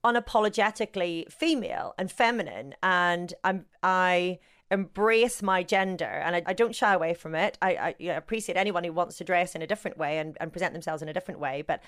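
A woman's voice: on the low side, a little fast, fairly bright tone, clean tone. A woman with a Northern Irish accent, a sports journalist talking as an interview guest in a gregarious, self-deprecating way. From Northern Ireland. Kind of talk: casual interview chat.

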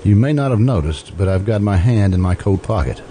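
A man's voice: fairly low pitch, animated, a little fast, warm tone. An actor playing a stern, suspicious man guarding a murderer in an American radio drama, blunt and accusing. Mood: wary, curt. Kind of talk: old-time radio drama acting. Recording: amateur radio recording, faint background noise.

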